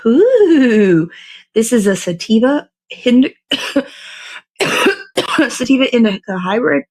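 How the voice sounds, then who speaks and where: High-voiced woman chatting casually. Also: big pitch swings, slow, darkish tone. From American South. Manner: slangy, playful, easygoing, thinking aloud. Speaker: host of a morning livestream show. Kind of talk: casual rambling livestream chat.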